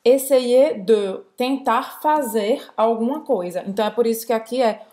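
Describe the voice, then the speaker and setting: Brazilian woman co-host, a little high-pitched, lively explainer, quick bursts. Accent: Brazilian Portuguese. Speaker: French teacher, co-host. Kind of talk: casual language lesson.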